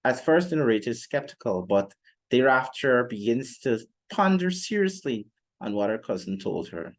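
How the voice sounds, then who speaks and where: A young man giving an explanatory lecture in a formal, deliberate manner, pausing often between phrases. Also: medium pitch, expressive. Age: young adult. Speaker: literature teacher presenting a lesson. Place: indoor room, seated before a plain wall.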